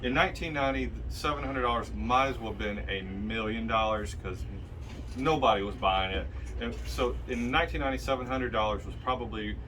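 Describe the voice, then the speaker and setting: A man's voice: medium pitch, fairly quick, fairly bright tone, slight background noise. A man in his fifties telling store stories in a casual, rambling way, filmed on a phone inside his game shop. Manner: chatty storyteller, rambling with restarts and asides. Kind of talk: casual store video chat. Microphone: phone camera held by a helper.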